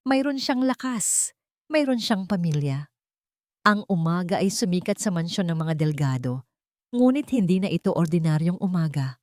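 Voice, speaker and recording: Low-voiced woman narrating with lively, swooping pitch. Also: moderate pace, no echo, studio quality. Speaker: storyteller narrating a romantic family drama. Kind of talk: scripted dramatic story narration.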